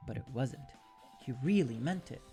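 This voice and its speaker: A narrator reads a fan fiction story in an ASMR style. The voice is dark and soft-edged with plenty of vocal fry, and music plays quietly behind it. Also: medium pitch, expressive, moderate pace.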